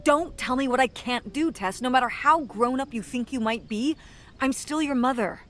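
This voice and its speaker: A woman's voice: high-pitched, normal speed, a bit bright. A wry worried mother. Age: middle-aged. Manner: cautious, wry, scolding. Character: worried, protective middle-aged mother, dry-humored. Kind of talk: video game voice acting.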